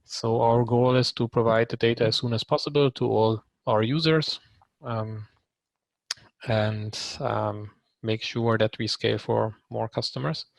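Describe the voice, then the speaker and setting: A man in his thirties from Hungary speaks on a video call with a gravelly edge to his voice. He talks with many ums and short pauses, and the sound is dry and close. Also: mid-range voice, steady tone, dry recording. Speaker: trainee introducing himself. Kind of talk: casual self-introduction on a video call.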